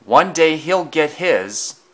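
A man's voice: a little high, animated, slow, pitched above the performer's own voice. A man doing a cheeky little tank engine. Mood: indignant.

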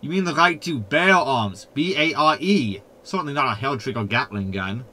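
A man's voice: mid-range voice, expressive, moderate pace, pushed and bright. A man playing a sardonic, know-it-all hologram in a sci-fi sitcom audio drama, pompous and wordy. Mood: pedantic.

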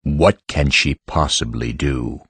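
Ancient, very deep stone creature. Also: male voice, a bit bright, slightly rough, dry recording, full character voice. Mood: resigned. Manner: formal, ponderous, unhurried. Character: ancient solemn living mountain heart, slow stone creature. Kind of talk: audiobook drama.

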